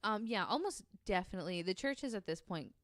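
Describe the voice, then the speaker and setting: A woman's voice: mid-range voice, animated, fast, no room sound. A woman co-hosting a podcast, telling a long story in a casual way, with many ums. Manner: narrates at length with frequent ums, reads quotes aloud.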